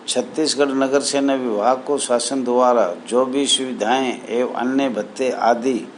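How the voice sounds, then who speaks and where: Slow-talking man reading a formal appeal. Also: mid-range voice, poor audio. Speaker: spokesman for a welfare association. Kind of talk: formal appeal, reading numbered points aloud with added commentary. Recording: noticeable background noise.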